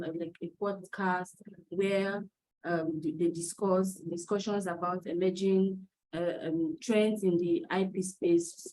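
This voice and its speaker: Woman moderator, low mellow voice, halting delivery with fillers. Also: low-quality recording. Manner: hesitant, many fillers. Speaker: webinar host. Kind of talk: moderating an online panel.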